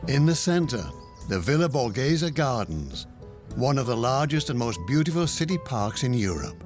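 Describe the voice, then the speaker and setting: A man narrating a travel documentary in a calm, measured scripted voice-over. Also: medium pitch. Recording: voice-over with music behind. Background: music.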